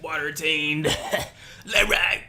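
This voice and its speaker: A man doing casual, excited reaction commentary as a host, talking in slang with animated interjections. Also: on the high side, extremely slow.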